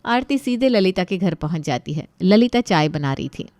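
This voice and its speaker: A woman's voice: fairly low pitch, animated, moderate pace, darkish tone, dry recording. Woman narrating a story, dry recording.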